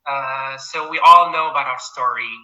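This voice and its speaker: Bright-voiced young Algerian man. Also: medium pitch, normal speed, dull audio. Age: 20s. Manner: polite, eager, often says "absolutely". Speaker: interviewee, PhD student. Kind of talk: casual interview answers.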